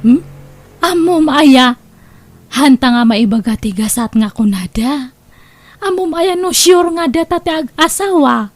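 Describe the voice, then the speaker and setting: A woman's voice: sits high, expressive, unhurried, long pauses. A woman playing a coy, secretive young woman in a comedy drama, with an Ilocano accent. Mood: coy, evasive. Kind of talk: Ilocano comedy radio-style drama acting.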